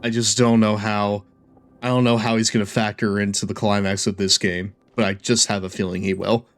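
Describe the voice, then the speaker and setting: A man's voice: fairly low pitch, little pitch movement, a little fast. A man giving casual live commentary as he streams a game, with an occasional stammer.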